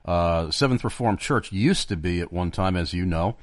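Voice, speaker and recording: A man's voice: low-pitched, moderate pace, dry recording. A man hosting a live radio interview from a studio, chatty and full of fillers. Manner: loud greeting, then chatty with many fillers. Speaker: radio talk show host.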